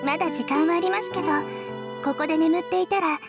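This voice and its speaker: A woman playing a sweet, polite teenage schoolgirl in a visual novel, speaking gently and deferentially. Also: very high-pitched, racing, gravelly. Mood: gentle, polite. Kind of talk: Japanese visual novel voice acting. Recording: game audio with music over it. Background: music.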